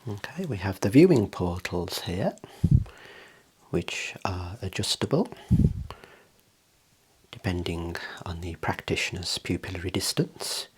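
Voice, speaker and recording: A soft-spoken, whispery ASMR voice in a role play as an eye clinician, gently and deliberately explaining equipment. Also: deep voice, lively intonation. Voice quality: breathy, whispery.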